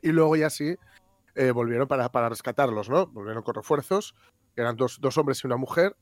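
A man's voice: medium pitch, a little fast, no room sound, clean studio sound. A man reading news on the radio in a casual, chatty way, laughing often and tagging his remarks with questions.

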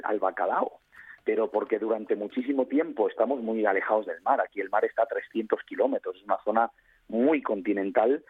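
A man's voice: fairly low pitch, husky, no room sound. A man, a tour guide explaining in detail over the phone as an interview guest. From Cáceres, Spain. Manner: detailed, explanatory, with frequent fillers. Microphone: telephone. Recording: tinny phone line.

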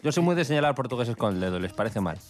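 A man's voice: mid-range voice, sing-song, racing, soft background music. A man with an Asturian accent, a comedian guest in a radio studio, making dry, wry asides. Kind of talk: jokey studio banter.

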